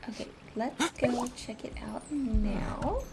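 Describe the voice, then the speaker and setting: A woman's voice: a little high, animated, takes it slowly, very noisy. A woman game streamer chatting casually and playfully with her live audience in an informal way. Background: game music.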